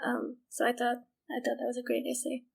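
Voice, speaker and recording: A woman with a fairly high voice speaks as a podcast panelist. Her delivery is measured and extremely even, with hardly any rise or fall. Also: vocal fry, slightly rough. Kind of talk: measured discussion of an essay.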